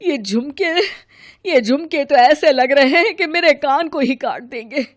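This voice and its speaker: A woman's voice: sits high, expressive, unhurried, no echo, studio quality. A woman voicing a weary elderly mother-in-law in a cartoon story, protesting and complaining. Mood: grumbling.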